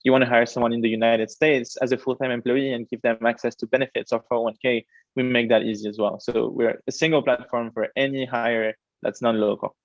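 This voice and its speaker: A young man from France, a startup co-founder, answering interview questions quickly and animatedly, with frequent fillers. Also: mid-range voice, level tone, no echo. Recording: dull, dry lo-fi audio.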